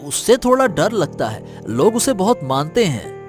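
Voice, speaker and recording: A man's voice: sits high, big pitch swings, a little slow, soft background music. A man playing an obedient, wary henchman in a cartoon, deferential and conspiratorial. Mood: conspiring, wary.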